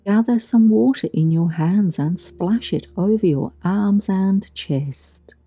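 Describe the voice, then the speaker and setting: A woman guiding a meditation in a soothing, gentle, deliberate way with long pauses, recorded with a muffled sound. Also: medium pitch. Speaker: meditation guide. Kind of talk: guided meditation narration. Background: background music.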